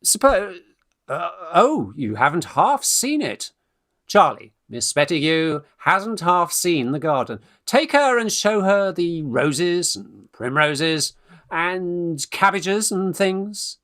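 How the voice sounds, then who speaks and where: An actor playing a flustered young Victorian gentleman in a radio farce, British, stammering and exclamatory, eager in courtship and bossy with friends. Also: high voice, very animated, slow, exaggerated voice. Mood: awkward, insistent. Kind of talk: stage farce acting for radio play.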